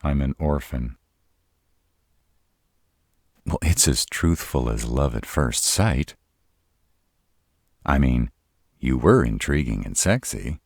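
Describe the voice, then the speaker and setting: A sarcastic young vampire, played by a man in an audio roleplay, speaking low with some gravel. He is courteous on the surface, dry and defiant underneath, and the recording is close and dry. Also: animated, slow, pauses often, dry recording, exaggerated voice. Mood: sheepish. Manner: polite, dry, defensive. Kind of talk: roleplay audio drama acting.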